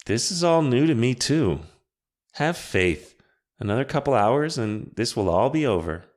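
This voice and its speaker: A man voicing a calm, steady female witch in an audiobook, speaking in a measured, reassuring way. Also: medium pitch, voice pushed up. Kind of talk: audiobook character voice.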